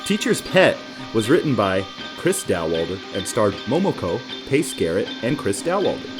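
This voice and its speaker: Guy doing podcast outro credits, lively pitch, guitar music playing behind. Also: normal speed. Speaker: podcast announcer. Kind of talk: credits read.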